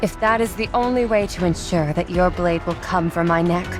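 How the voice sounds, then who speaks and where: An actress playing a resolute, remorseful swordswoman in a dramatic video game, speaking in a measured, theatrical way. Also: on the low side, close to an everyday voice. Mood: grave resolve. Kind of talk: dramatic anime game voice acting. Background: music behind the voice.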